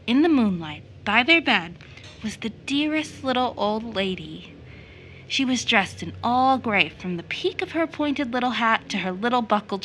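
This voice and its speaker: A woman's voice: fairly high pitch, pushed and bright, slight background noise, rough recording. An actress playing a playful, tender young woman who tells stories expressively in an audio drama. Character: Rachel, warm playful young woman, motherly storyteller.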